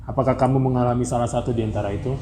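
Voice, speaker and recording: A man's voice: mid-range voice, fairly even intonation, racing, dark tone. Male host, mid-range voice, conversational questioning, echoey recording with faint noise. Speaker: interview host.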